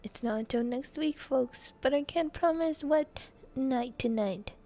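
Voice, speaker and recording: A woman voicing a chatty, folksy male TV weatherman in a put-on accent, with a halting delivery. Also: sits high, vocal fry, warm tone, a little hiss or hum.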